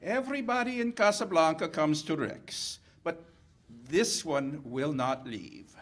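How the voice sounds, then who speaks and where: An actor playing a suave, sly French police captain in a 1940s radio play, urbane and wry. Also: male voice, fairly high pitch, unhurried, fairly bright tone. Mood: wry, assured.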